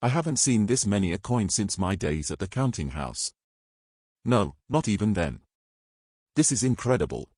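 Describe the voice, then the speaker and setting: A man's voice: fairly low pitch, very animated, a little mellow, no room sound. Man voicing a greedy rogue adventurer, imperious, quaint exclamations, swooping intonation, dry sound, fantasy story. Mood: excited, triumphant. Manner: old-fashioned exclamations, brisk and imperious. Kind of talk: fantasy audio story character acting.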